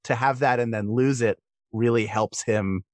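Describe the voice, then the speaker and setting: A man's voice: medium pitch, steady tone, moderate pace, dry recording. Man talking thoughtfully, dry close sound. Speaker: guest voice actor. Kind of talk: podcast discussion.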